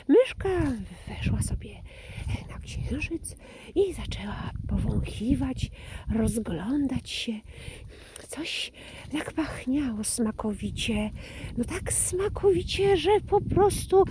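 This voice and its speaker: A woman telling a children's fairy tale expressively, often dropping to a hush and repeating phrases for suspense. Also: high voice, slight background noise. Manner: hushed, breathy drops, repeats phrases for suspense. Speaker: children's storyteller.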